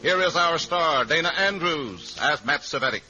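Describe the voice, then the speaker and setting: High-pitched radio announcer man over music. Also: animated, moderate pace, pushed and bright. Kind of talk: dramatic 1950s radio announcing. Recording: muffled 1950s radio recording with music.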